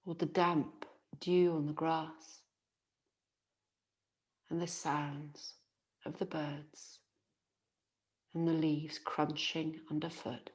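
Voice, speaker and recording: Female yoga nidra guide, low-pitched, very slow delivery, soothing and gentle, long pauses. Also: flat delivery. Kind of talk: guided relaxation meditation.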